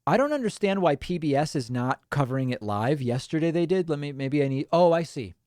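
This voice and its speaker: A man's voice: fairly high pitch, expressive, brisk, darkish tone, no room sound. Dry-sounding male livestream host, native Spanish speaker. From Argentina. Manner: casual, offhand, frequent ums and uhs. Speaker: political commentary host. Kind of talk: livestream chat, reading viewer comments.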